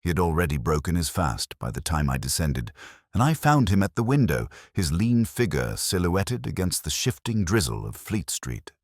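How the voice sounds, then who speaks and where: A man narrating a detective story in a measured, dramatic, scripted style. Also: low voice, sing-song, no room sound, clean studio sound. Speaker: audiobook narrator.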